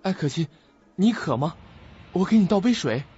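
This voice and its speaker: Man acting an animated, high-voiced eager young man. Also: normal speed, breathy edge, dull audio, faint music behind, heavily acted. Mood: eager, coaxing. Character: eager, gentle young man visiting a former classmate. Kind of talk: Chinese suspense radio drama acting.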